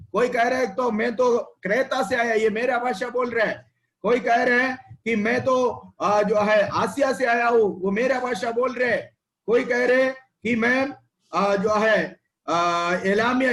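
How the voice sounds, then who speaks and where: A man's voice: high voice, a bit bright. A man teaching a Bible study over an online call, repeating words, prompting a reader and checking that he is heard.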